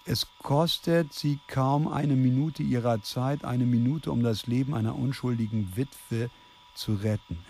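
An actor in a German radio drama playing a gruff, brooding spare-parts dealer who mutters brusquely. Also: male voice, mid-range voice, measured pace, warm tone. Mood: grave. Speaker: lead character. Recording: clear background noise.

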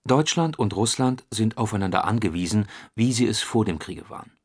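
An actor playing a stern military voice in a radio play, formal and declamatory. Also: male voice, on the low side, a little fast, darkish tone, breathy edge. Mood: solemn, declamatory. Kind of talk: radio play documentary reading.

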